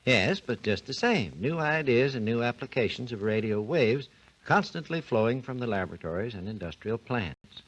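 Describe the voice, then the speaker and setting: A man with a somewhat husky voice explains technical history in an even, lecturing manner. His pitch moves freely and expressively as he talks. The sound is an old 1940s radio recording. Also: moderate pace, highs cut off. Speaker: research engineer guest. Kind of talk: scripted 1940s radio talk.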